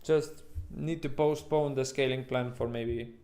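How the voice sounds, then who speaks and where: Halting Estonian-accented man, livestream host. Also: medium pitch, fairly even intonation, mellow. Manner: halting, rambling, informal, occasional swearing. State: sleep-deprived. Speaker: livestream host, futures trader. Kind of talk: casual livestream chat with viewers.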